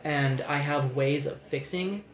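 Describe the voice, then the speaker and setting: A man's voice: a little high, measured pace, reverberant. A young man, talking candidly and rambling as a podcast host, with lots of restarts and swearing. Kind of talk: casual podcast chat.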